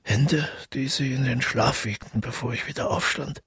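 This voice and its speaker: An actor playing a brooding, haunted man who tells his past in a halting, intimate audio drama monologue with a husky, gravelly voice. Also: medium pitch, a bit bright, dry recording, clean studio sound. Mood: tender, wistful. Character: brooding, haunted male first-person narrator recalling the past.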